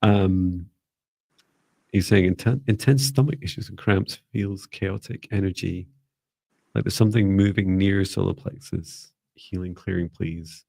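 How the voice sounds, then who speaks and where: A man's voice: low-pitched, measured pace, creaky, warm tone, no echo. A middle-aged man hosting a live stream in casual, jokey, chatty conversation.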